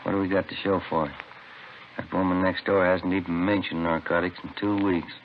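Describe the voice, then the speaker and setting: A grumbling American cop with a low voice and a folksy, fed-up manner. He sounds like a bored detective in an old radio drama, slightly noisy and muffled. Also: little pitch movement, a little fast. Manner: folksy, complaining. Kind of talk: 1950s radio drama, naturalistic dialogue. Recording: dull old radio recording, faint noise.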